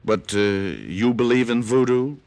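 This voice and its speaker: An actor playing a wry, cool private detective, narrating and talking in a hardboiled old radio drama. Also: male voice, mid-range voice, expressive, a little slow, darkish tone, no echo. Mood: wry, probing. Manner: wry, understated.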